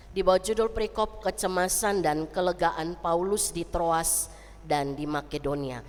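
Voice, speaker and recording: A woman pastor leading a church service, her voice nasal and congested from a cold, chatting easily with the congregation. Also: low voice. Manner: chatty with the congregation, tags lines with "ya" and "gitu kan". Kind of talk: church service prayer and sermon. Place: church sanctuary. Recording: long church hall reverb.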